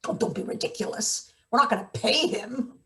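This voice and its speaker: Woman playing a dry, higher-voiced scheming worker. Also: moderate pace, fairly bright tone, lightly acted. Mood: dismissive. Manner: dry, sardonic. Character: wry, scheming, bossy senior maintenance worker. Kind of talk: live theatre table read.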